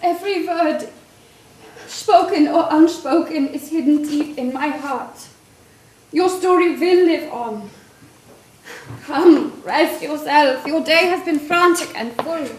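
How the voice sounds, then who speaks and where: Actress playing a high-voiced devoted young fiancée, echoey stage. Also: female voice, slow pace. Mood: tender, soothing. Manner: tender, dramatic, playfully forthright. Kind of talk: theatrical stage-play dialogue. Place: stage, recorded with room echo. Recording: echoey stage with background noise and clicks.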